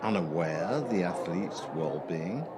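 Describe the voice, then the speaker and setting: A man playing a sceptical journalist in a radio play, questioning in a pointed, insinuating, deliberate manner. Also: deep voice, animated. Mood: sceptical, probing. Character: probing, sceptical male journalist interviewing an official. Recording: very noisy background ambience.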